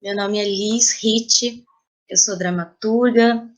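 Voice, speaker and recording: A woman's voice: mid-range voice, fairly even intonation, takes it slowly. A woman with a Brazilian accent speaking as an interview guest, explaining things in a conversational, reflective way. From São Paulo, Brazil. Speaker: podcast guest, playwright, actress and teacher.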